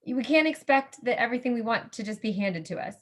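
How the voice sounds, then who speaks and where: A woman's voice: fairly high pitch, lively intonation, fast. A woman interviewing a guest in a polite, appreciative, conversational way. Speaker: interview host.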